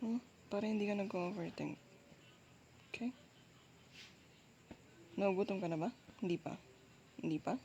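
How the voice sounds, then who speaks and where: A woman with a Filipino accent doing intimate girlfriend roleplay ASMR, speaking softly and closely in a soothing, coaxing, reassuring way. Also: medium pitch, normal speed, long pauses. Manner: soothing, coaxing, with frequent gentle check-ins. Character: soft dominant, reassuring, apologetic girlfriend comforting her partner. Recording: faint background noise.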